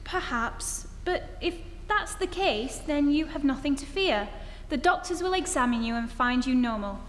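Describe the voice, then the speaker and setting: A woman playing a worried, loving, gently persuasive sweetheart in an old-time radio drama, earnest and pleading, on stage in a hollow, hissy hall. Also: sits high, animated, normal speed. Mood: reassuring. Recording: hollow hall recording with hiss.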